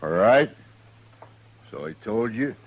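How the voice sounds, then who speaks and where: Blustering, nervous frontier hothead. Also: male voice, lowish voice, animated, slow. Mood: rattled, fearful. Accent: rural American. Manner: blustering, agitated. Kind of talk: 1950s radio western acting. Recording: muffled vintage radio recording.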